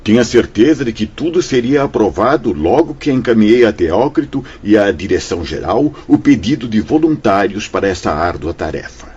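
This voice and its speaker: A Brazilian actor playing a kindly, paternal spirit director in a radio drama, speaking formally and solemnly with gentle reassurance. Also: male voice, fairly low pitch, big pitch swings, normal speed, hardly any pauses, breathy edge. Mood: gracious, earnest. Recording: dull radio drama sound with music.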